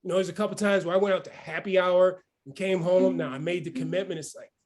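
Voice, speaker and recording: A man's voice: high-pitched, fast talker. A man around fifty hosting a morning motivational session, full of energy and often checking in with "right?". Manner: energetic, keeps asking "right?". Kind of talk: motivational talk.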